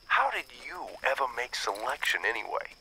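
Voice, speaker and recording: Cocky soldier with wild pitch swings. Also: male voice, sing-song, moderate pace, bright, forceful tone, slight background noise. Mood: mocking. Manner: mocking, slangy banter. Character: cocky, teasing soldier, a wisecracking demolitions man. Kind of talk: audio drama acting. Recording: long hall reverb, music underneath.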